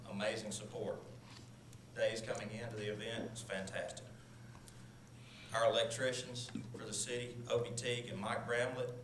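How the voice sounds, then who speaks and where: Earnest man at a council podium. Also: on the low side. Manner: earnest, lists names and thanks. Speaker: public speaker at city council. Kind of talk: formal public address with thanks. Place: large council chamber, standing at podium. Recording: roomy hall sound with noise.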